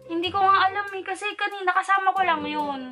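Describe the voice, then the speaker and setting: Young woman, from the Philippines, high bright voice, hesitant prank caller, faint background music. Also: fast talker. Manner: hesitant, stumbling fake story, then giggly. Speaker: vlogger making prank calls. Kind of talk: casual prank phone call.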